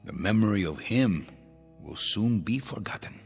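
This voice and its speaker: Rolling through long hall reverb over music, a gloating, vengeful rebel leader in a radio play. He is cruel and proud, taking his time over each threat, with theatrical swings from low menace to high outrage. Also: expressive, moderate pace, gravelly, breathy edge, heavily acted. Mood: cold resolve. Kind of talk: old-time radio drama acting. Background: dramatic music.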